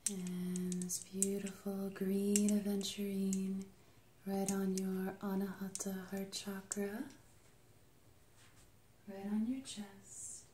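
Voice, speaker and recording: A young adult woman leading an ASMR energy healing session, soft-spoken and soothing, sometimes dropping into a whisper. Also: mid-range voice, level tone, takes it slowly. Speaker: Reiki healer and channel host. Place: small dim indoor treatment room. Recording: roomy, slightly noisy home recording.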